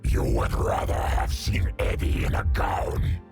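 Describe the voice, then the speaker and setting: An actor voicing a grim, monstrous alien symbiote in a video game, growling in a grave, deliberate way. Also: male voice, a little mellow, faint music behind, lightly acted. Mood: grim, dry.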